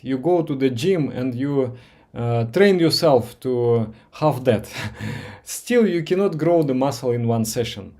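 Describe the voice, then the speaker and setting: A man whose first language is Russian, teaching meditation in a live session with viewers, speaking slowly and gently with many pauses, fillers and repeated words. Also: a little high.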